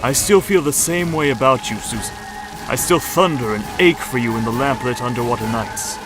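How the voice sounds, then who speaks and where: A man's voice: mid-range voice, normal speed, over music, natural voice, rough recording. A man playing a brooding, lovesick undersea ruler, speaking in florid, formal romantic declarations in a superhero drama. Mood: brooding longing. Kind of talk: scripted superhero audio drama.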